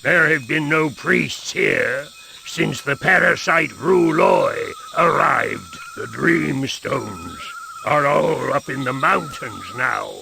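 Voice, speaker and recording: Slow, gravelly, foreboding temple gatekeeper. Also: male voice, a little high, animated, background noise, heavily acted. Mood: scornful. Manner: theatrical, slow, drawn-out warnings. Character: eccentric male temple gatekeeper, scornful, foreboding. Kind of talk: video game cutscene acting.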